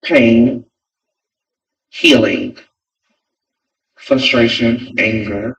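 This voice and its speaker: A man's voice: mid-range voice, fairly even intonation, drawn-out words, a bit bright, muffled recording. A man talking as a podcast guest in casual chat, emphatic and repeating phrases for effect. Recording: distant from the mic.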